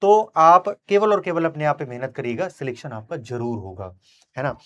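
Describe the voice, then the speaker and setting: Encouraging man, slightly high voice. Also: expressive, normal speed, fairly bright tone, muffled recording. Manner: emphatic, encouraging, repeats tag questions. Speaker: interviewer and coaching teacher. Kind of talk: conversational interview with motivational asides.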